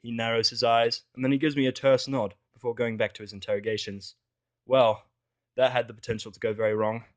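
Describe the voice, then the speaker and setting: A man's voice: medium pitch, little pitch movement, a little fast. A man reading a scripted first-person fan fiction story as its narrator.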